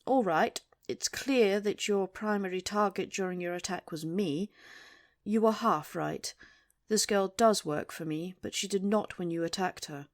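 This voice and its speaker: A woman's voice: medium pitch, measured pace, no room sound, studio quality, natural voice. A woman playing a coldly menacing, imperious boss in an audio drama, formal and precise in her speech. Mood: formal, commanding. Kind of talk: audio roleplay acting.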